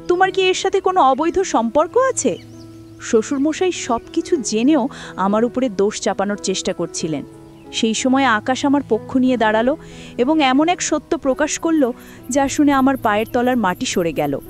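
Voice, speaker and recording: A woman's voice: on the high side, darkish tone. A woman narrating a scripted first-person dramatic story. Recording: music bed under the voice. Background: background music.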